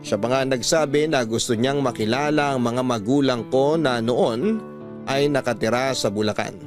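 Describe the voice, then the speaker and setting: A man's voice: medium pitch, normal speed, over music. A man narrating a love story in the first person on radio, reading it in an emotional, earnest way. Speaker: letter reader and narrator.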